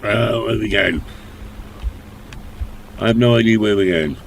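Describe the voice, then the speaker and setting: Gravelly, hesitant man with sniffles. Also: lowish voice, fairly even intonation, moderate pace, a little hiss or hum. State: slight cough and sniffles. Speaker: streamer playing online roleplay. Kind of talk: casual livestream chat while playing.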